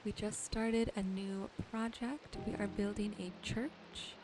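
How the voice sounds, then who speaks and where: A woman gently narrating her gameplay as a sleep ASMR host, soothing and unhurried. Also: mid-range voice, fairly even intonation, rough recording. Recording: music behind the voice. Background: soft music, game rain and animal sounds.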